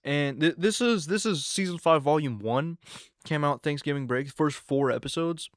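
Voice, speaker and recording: An eighteen-year-old man hosting his own podcast, rambling casually with lots of fillers and excited asides. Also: fairly high pitch, normal speed, no echo, studio quality. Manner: rambling, filler-heavy, excitable teen talk. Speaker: podcast host. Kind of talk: casual solo podcast chat.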